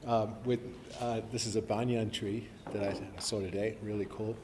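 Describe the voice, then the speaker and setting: A man's voice: lowish voice, fairly even intonation, moderate pace. Chatty man lecturing in a hall. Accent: mixed, not typically New Zealand. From New Zealand. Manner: chatty and self-deprecating, many ums and restarts. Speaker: forestry professor giving a talk. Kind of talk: live presentation to an audience. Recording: live hall recording with reverb and faint hiss.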